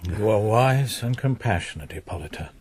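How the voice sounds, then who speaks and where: A man playing a grave, kindly holy father in a Gothic radio drama, speaking in a measured, formal way. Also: lowish voice, expressive, a little hiss or hum, lightly acted. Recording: loud music under the voice. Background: music.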